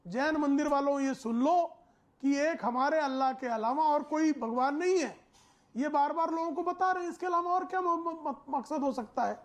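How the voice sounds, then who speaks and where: High-pitched, emphatic male debate panelist. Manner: emphatic, oratorical, formal vocabulary. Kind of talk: forceful political debate argument.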